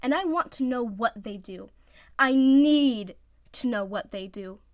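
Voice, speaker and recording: A woman's voice: on the high side, unhurried, no echo, clean studio sound, close to an everyday voice. A woman voicing a whiny, dramatic and playfully scheming teenage boy team captain in a story reading.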